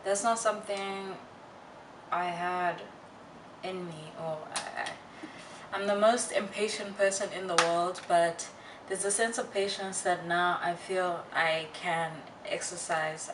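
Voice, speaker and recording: A young woman vlogger chatting casually to her viewers in a candid, halting, reflective way with slangy asides. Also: fairly low pitch, steady tone. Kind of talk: casual personal chat to viewers. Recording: roomy, distant mic, faint noise.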